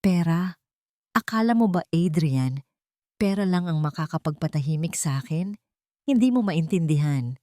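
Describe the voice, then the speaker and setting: A woman's voice: low voice, animated, normal speed, long pauses, mellow, no echo, clean studio sound. A woman narrator voicing a bitter, vengeful older woman seeking justice in a story. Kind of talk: dramatic storybook dialogue.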